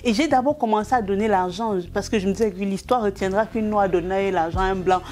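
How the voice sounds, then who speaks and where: A middle-aged woman from Cameroon with a Cameroonian French accent, a comedian telling anecdotes in an interview, animated and laughing often between restarts. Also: mid-range voice, fast, soft background music.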